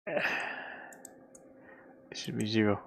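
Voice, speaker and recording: Man, gravelly fry, tired, casual rambling developer commentary, muttering to himself, close dry recording. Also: lowish voice, slow, no room sound. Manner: muttering to himself, playful sing-song sounds. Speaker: indie game developer narrating own work.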